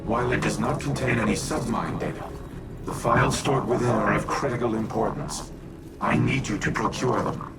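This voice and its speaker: An actor playing a grave, calculating artificial intelligence built for war in a video game, speaking formally and deliberately in a deep, gravelly voice. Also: male voice, expressive, distant mic, loud music behind the voice. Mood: measured, grave. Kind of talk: scripted video game dialogue.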